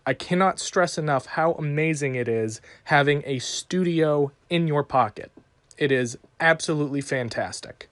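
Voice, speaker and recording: A man's voice: fairly high pitch, normal speed, fairly bright tone, no echo. A man hosting a podcast, reading a scripted sponsor message in an emphatic, promotional way. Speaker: podcast host. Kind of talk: scripted sponsor read and show introduction.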